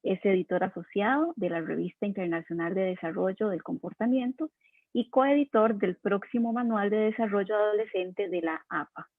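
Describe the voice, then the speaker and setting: A woman's voice: medium pitch, normal speed. A woman moderates a webinar and formally introduces the next speaker, with frequent fillers. Her audio is tinny and phone-like. The sound is dry, with no room echo.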